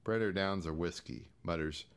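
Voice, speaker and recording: A low-voiced American man narrates a short story. He reads from a script in an audiobook style, as a storyteller delivering fiction. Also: normal speed, smooth.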